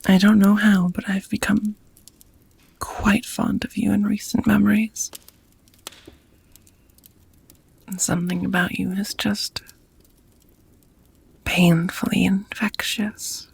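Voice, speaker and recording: A woman playing an affectionate, languid female vampire lover in an ASMR romantic roleplay, speaking softly in breathy whispers with slightly formal phrasing, close to the microphone. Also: medium pitch, flat delivery, unhurried, pauses often, exaggerated voice. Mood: tender, adoring. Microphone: close microphone, dry.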